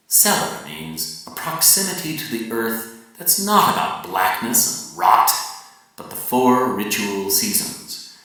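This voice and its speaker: A man giving a dramatic literary reading as a pompous, preachy coach of realtors, lecturing emphatically with deliberate pauses. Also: mid-range voice, pushed and bright. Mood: preachy, savoring. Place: large echoey venue, live reading. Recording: very echoey room, far from mic.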